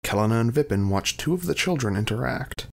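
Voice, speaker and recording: A man's voice: mid-range voice, lively intonation, a little fast, vocal fry. A man reading scripted narration, announcing the scenes of an audio drama.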